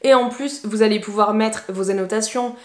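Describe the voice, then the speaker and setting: A woman's voice: medium pitch, normal speed, a little mellow, roomy sound. A woman English teacher, giving casual, encouraging tips to camera with plenty of fillers. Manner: chatty, encouraging, many fillers.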